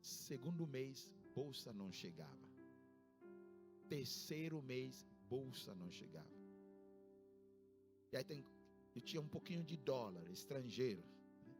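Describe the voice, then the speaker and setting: A man's voice: very animated, unhurried, long pauses, music in the background. Ghanaian-accented man preaching with soaring emphasis. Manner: emphatic preaching with sudden high-pitched rises. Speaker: pastor. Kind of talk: sermon. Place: church service.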